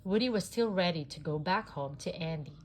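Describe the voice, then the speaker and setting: A woman reading a children's story aloud in a deliberate, expressive narrating style. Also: fairly low pitch, slight background noise. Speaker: storybook reader.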